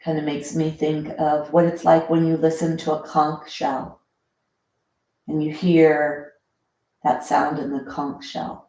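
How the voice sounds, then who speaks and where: A woman leading a guided breathing meditation in a gentle, instructional way, leaving pauses between short phrases. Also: deep voice, level tone. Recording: roomy, echoing sound.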